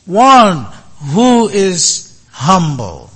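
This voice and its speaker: A man giving a sermon as a priest, speaking in short, deliberate phrases with many pauses. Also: sits high, very animated, a bit bright, slightly rough.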